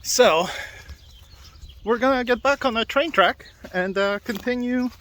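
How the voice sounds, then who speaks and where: Upbeat rambling man, high voice. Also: a little slow, a bit bright. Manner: rambling, upbeat, laughs often, many fillers. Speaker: video podcast host. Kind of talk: casual walking vlog. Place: outdoors by railway tracks.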